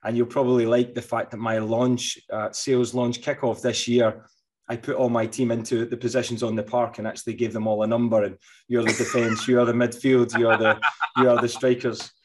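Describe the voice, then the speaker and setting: A man's voice: mid-range voice, flat delivery, a little fast. Eager middle-aged man hosting an interview. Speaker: podcast host. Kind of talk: interview host, casual.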